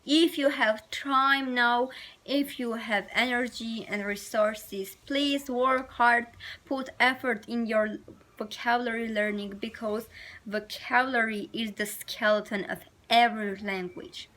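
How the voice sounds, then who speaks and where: A woman's voice: fairly high pitch, fairly even intonation, a little slow, bright, forceful tone, poor audio. A woman whose first language is Armenian, giving study advice to camera in earnest, hesitant non-native English. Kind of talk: talking to camera, advice vlog.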